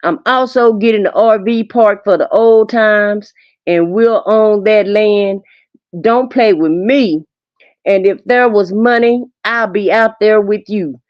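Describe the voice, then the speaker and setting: A woman's voice: mid-range voice, slow, dry recording. A woman co-hosting a livestream, casually performing a reading of text messages, on lo-fi audio. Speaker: livestream co-host. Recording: dull, lo-fi close livestream audio.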